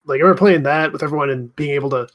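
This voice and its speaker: A man's voice: on the high side, racing, a bit bright. A man co-hosting a podcast, chatting informally among friends with frequent "like" in his speech. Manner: informal, says "like" often. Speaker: podcast co-host. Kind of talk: casual chat among friends.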